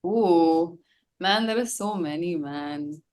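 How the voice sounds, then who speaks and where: Effusive woman with a lowish voice. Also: slow, darkish tone. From India. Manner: effusive, slangy. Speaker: singer and musician, interview guest. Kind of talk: casual chat.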